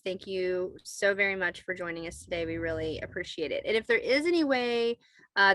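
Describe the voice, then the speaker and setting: Woman, meeting facilitator, semi-formal talk, bright tone, very little room sound. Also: on the low side, no echo. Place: video call.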